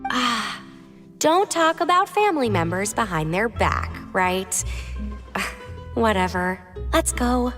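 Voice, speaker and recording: A woman's voice: fairly high pitch, expressive, slow. A woman voicing an aloof, blunt, sardonic young woman in a video game. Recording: game music behind.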